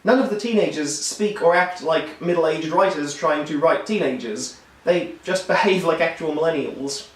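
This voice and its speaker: A man with a high voice that has gone hoarse delivers a wry, upbeat film review. He sounds set back from the microphone, and the room adds a noticeable echo. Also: normal speed. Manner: wry, enthusiastic critique. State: hoarse, losing his voice. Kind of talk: scripted video review. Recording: roomy echo, distant mic.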